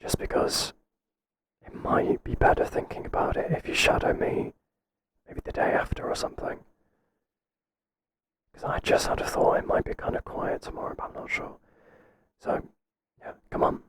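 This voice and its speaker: A man's voice: close to an everyday voice, low-quality recording. Man acting a whispering, hesitant, kindly boss. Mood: gently teasing, curious. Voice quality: breathy whisper. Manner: hesitant, soft-spoken, halting. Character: mild, hesitant, kindly male workplace boss, flustered by flattery. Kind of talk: whispered ASMR roleplay.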